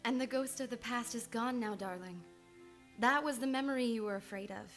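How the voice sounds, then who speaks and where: An actress playing a composed, determined and caring psychoanalyst, earnest and theatrical in an old-time radio play. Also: female voice, on the high side, a little fast, a bit bright. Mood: reassuring. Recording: studio radio play with music behind.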